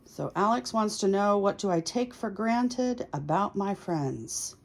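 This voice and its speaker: A woman's voice: medium pitch, expressive, measured pace. A woman hosting a tarot reading, chatting casually and thinking aloud in short, musing phrases. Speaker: tarot reader hosting a video series.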